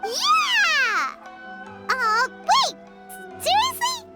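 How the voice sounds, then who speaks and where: A woman voicing a tiny, excitable, childlike fairy girl companion in a video game, squeaky and eager. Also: very slow, exaggerated voice. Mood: dismayed. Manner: eager, refers to herself by name, exclaiming. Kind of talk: video game character acting. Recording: music playing behind the voice. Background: game music.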